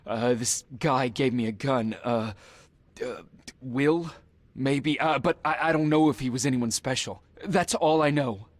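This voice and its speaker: Game family man, male actor: medium pitch, husky, nervous, halting, pleading and weighed down by guilt. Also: no echo, natural voice. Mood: shaken. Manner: hesitant, stammering, pleading. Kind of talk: video game cutscene acting.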